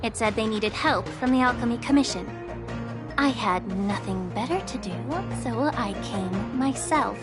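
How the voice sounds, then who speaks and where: A woman playing a poised, slyly sarcastic head healer in a video game, speaking politely with cool, unhurried teasing. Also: on the high side, music in the background, natural voice. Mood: breezy, obliging.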